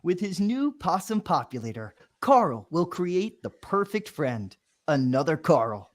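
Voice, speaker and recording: A man's voice: a little high, sing-song, measured pace. A man, a children's author, reading his picture book aloud playfully, with lots of sound effects.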